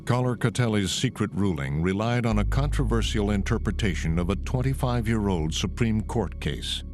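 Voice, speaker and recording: An American man reading scripted documentary narration in a measured, serious way. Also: lowish voice, expressive, darkish tone. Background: background music.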